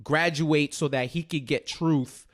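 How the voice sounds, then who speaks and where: A man with a fairly high voice co-hosts a casual show recap. He is emphatic and slangy, often repeating a phrase to drive it home. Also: fairly even intonation, measured pace. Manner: colloquial, slangy. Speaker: podcast co-host.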